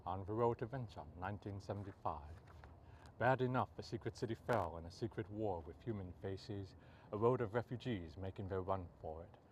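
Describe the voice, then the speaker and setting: A man reading poetry aloud as a poet, in measured, deliberate short phrases. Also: fairly low pitch, a little mellow, a little hiss or hum. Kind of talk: poetry reading, recited free verse.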